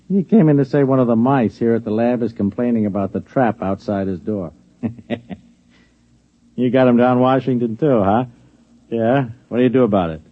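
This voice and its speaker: An actor in an old radio drama playing a condescending, easily flustered lab security supervisor who stammers and repeats himself. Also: male voice, mid-range voice, close to an everyday voice. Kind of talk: 1950s radio drama acting. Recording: dull vintage radio broadcast recording.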